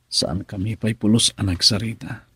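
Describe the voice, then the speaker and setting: Very raspy troubled young husband. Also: male voice, lowish voice, steady tone, normal speed, fairly bright tone, dry recording. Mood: troubled, hesitant. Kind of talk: radio drama acting.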